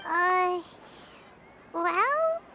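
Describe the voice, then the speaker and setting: Game mascot role: childlike little fairy, piping squeaky pitch, slower delivery, well-meaning and excitable. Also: female voice, background noise, heavily acted. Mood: puzzled. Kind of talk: video game voice acting.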